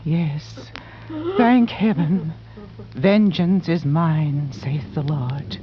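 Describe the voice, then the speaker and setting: A woman's voice: low voice, very slow. Distraught religious lady in old radio melodrama, really drawn out, muffled and super noisy. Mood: solemn, fervent. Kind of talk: 1940s radio acting.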